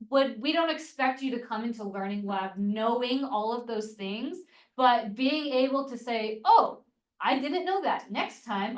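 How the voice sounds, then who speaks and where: A woman trainer guiding a group with a calm, encouraging manner. Her voice sits a touch high and is caught from a distance by the room mic. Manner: encouraging, reassuring. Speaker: tutor trainer. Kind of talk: leading a training session.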